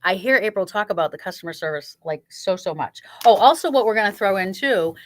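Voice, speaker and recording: A woman's voice: medium pitch, a little fast, fairly bright tone. A woman hosting a casual chat in a sewing shop, eager and chatty, often repeating words as she starts a sentence.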